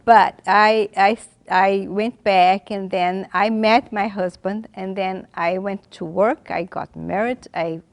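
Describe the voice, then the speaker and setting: A woman's voice: medium pitch, fairly even intonation, dry recording. Brazilian woman in her 50s, Portuguese native speaker; earnest documentary interviewee who often restarts her sentences. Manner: earnest, halting, with frequent restarts. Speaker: graduate student and legal translator.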